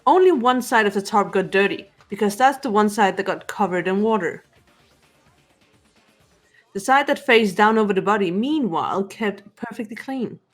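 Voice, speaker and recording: A woman plays a cool young female detective in a visual novel game. She speaks at a medium pitch, deliberate and assertive as she lays out deductions, with music underneath. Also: animated, close to an everyday voice. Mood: explaining. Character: cool, analytical teenage girl detective. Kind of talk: fan-voiced visual novel game dialogue. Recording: music behind the voice.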